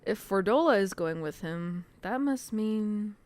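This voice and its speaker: A woman's voice: medium pitch, expressive, unhurried, darkish tone. A woman game streamer giving casual commentary during a playthrough.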